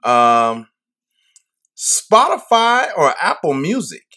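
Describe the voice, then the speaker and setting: A man's voice: on the high side, very animated, slow, bright, forceful tone. A man hosting a podcast interview, upbeat and hyped, often repeating his phrases. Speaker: podcast host. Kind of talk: casual interview chat.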